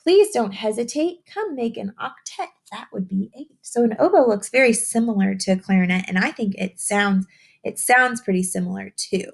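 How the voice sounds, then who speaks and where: A woman reads to young children in a teacherly way. Her voice sits at a middle pitch with a slightly husky, unpolished edge. She speaks simply and encouragingly, moving between storybook reading and chatty explanation. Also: animated, moderate pace. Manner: encouraging, addresses young listeners with simple questions. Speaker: teacher reading a picture book aloud to children. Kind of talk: children's read-aloud with chatty explanations and questions.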